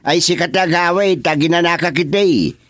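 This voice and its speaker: A man's voice: fairly high pitch, moderate pace, fairly bright tone, dry recording, clean studio sound. A man playing a flustered, put-upon village official in a rural comedy radio drama, stammering and exasperated.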